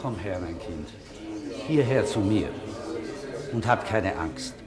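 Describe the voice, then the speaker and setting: Judge: lowish, calm, fatherly, patient courtroom manner, 1950s radio play. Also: slow pace, over loud music. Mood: gentle, coaxing. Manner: gentle, patient, formal. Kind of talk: 1950s German radio drama acting.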